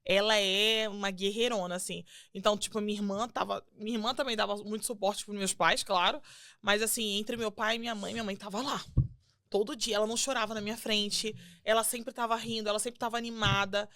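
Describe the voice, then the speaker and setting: A young adult woman with a Brazilian Portuguese accent, a singer guesting on a podcast, telling her faith story in an earnest, animated way and acting out quotes. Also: medium pitch, brisk, no echo, clean studio sound. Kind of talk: casual testimony chat.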